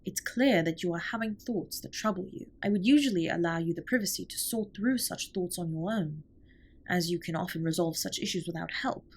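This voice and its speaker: A woman playing an alien spaceship captain in a comfort audio roleplay, speaking softly in formal, precise sentences with gentle, earnest concern. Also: lowish voice, husky. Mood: concerned, hesitant. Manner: formal, stiffly precise, measured wording.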